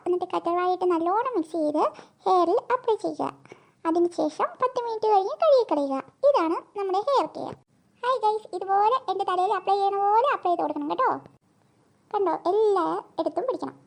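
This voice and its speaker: A performer voicing an excitable, whiny and playful little girl in a comedy cartoon. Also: very high voice.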